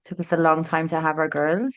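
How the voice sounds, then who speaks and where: A woman in her late thirties with a County Kerry Irish lilt tells her story as a podcast guest. Her voice sits low, and she talks in a loose, chatty way, with plenty of "like" and "um" as she goes. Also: fairly even intonation, a little fast. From Ireland. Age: late 30s. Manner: chatty, warm storyteller with frequent "like" and "um". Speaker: guest author. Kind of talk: casual podcast interview. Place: studio in person with host.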